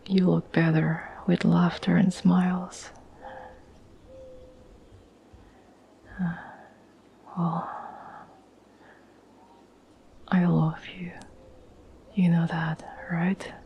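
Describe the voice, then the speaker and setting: Casting: actress as devoted, soothing girlfriend for ASMR roleplay, breathy whisper, mellow and even, faint background noise. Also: on the low side, rough recording. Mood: loving, reassuring. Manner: gentle, slow, halting, earnest. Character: tender, devoted girlfriend reassuring an anxious partner.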